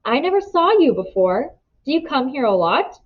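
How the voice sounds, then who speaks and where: Woman voicing a prim, stubborn ten-year-old girl, swooping pitch. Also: sing-song, normal speed, breathy edge, highs cut off, full character voice. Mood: curious. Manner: insistent, questioning.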